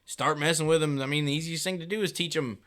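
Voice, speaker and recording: A man in relaxed podcast conversation, agreeable and folksy in how he talks. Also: fairly high pitch, quick pace. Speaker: coon hunter in a podcast conversation. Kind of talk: casual chat.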